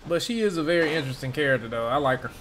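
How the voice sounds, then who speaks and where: A woman's voice: low-pitched, lively intonation, rapid-fire, low-quality recording. A woman gamer reacting casually to gameplay in an informal, slangy, joking way. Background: game audio and music.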